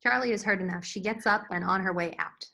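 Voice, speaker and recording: A woman narrates a screenplay's stage directions. Her voice is medium in pitch. She delivers it as scripted narration at a table read. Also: fast talker, gravelly, bright, forceful tone.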